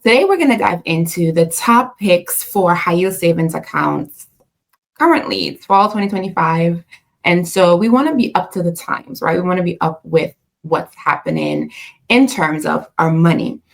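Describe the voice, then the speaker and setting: Gravelly Jamaican woman, chatty finance host. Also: on the low side, lively intonation, normal speed. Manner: chatty, with fillers and self-corrections. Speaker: personal finance channel host. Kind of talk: casual explainer talking to camera.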